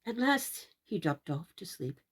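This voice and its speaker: A woman reading aloud as a children's storyteller, theatrical and deliberate, speaking directly to young listeners. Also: fairly low pitch, very animated, breathy edge. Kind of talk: expressive read-aloud storytelling.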